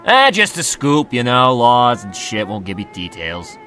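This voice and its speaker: A man's voice: medium pitch, big pitch swings, measured pace, pushed and bright, faint music behind. An actor playing a wry, prodding newspaperman chasing a scoop in a 1920s radio drama. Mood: probing.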